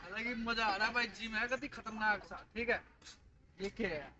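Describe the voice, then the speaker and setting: Bright-voiced young man vlogging outdoors. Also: high-pitched, normal speed, lo-fi sound, slight background noise. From India. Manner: chatty, playful, addresses viewers as friends. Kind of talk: casual vlog chat. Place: outdoor park with gym equipment. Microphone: handheld phone camera, moving around.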